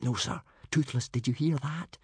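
A man voicing a patient, anxious young Viking boy, gentle and earnest, in an audiobook story. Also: fairly high pitch, lively intonation, moderate pace, breathy edge, no room sound, studio quality, pitched above the performer's own voice. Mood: stern whisper.

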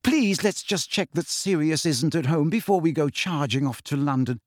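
A man's voice: on the high side, expressive, moderate pace, dry recording, studio quality. A man voicing an anxious, bossy teenage witch. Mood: pleading. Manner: fierce, pleading, anxious.

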